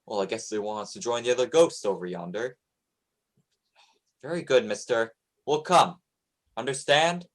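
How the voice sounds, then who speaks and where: A man's voice: medium pitch, moderate pace, long pauses, close to an everyday voice. A man playing a young American sloop captain in a radio play, plain-spoken and commanding, with an American accent. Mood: wary. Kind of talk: radio play acting, 1940s style.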